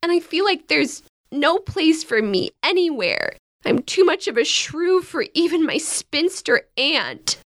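A woman's voice: sits high, sing-song, a little slow, full character voice. A woman acting a dejected, self-critical young woman of twenty-one in a modern audio drama, dramatic and blunt. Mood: self-loathing, tearful.

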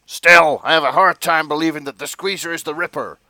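A man voicing a loud, blustering old-school police chief who barks in exasperation, in a comic dramatic reading. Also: on the high side, steady tone, brisk, no echo, studio quality, voice pushed up. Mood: exasperated. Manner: barking, exasperated.

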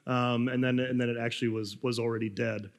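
A young man preaching a casual, rambling sermon, his voice slightly raspy as he recovers from losing it. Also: mid-range voice, flat delivery, a little fast. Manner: rambling, self-deprecating, frequent fillers and laughs. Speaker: pastor. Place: church hall. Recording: reverberant hall.

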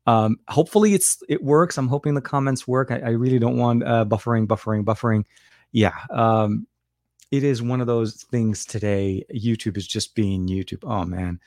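A man from Lebanon hosting a casual livestream, chatting in a rambling, halting way with many restarts and fillers. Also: medium pitch, darkish tone, dry recording, studio quality. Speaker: livestream host.

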